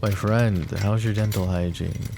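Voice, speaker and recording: A man voicing a low, gravelly mafia boss. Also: fairly even intonation, noisy background. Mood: menacing. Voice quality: soft, smooth and taunting. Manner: mocking, slow and threatening, with sweet pet names. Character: possessive menacing male mafia boss. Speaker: voice actor. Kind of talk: roleplay ASMR audio drama.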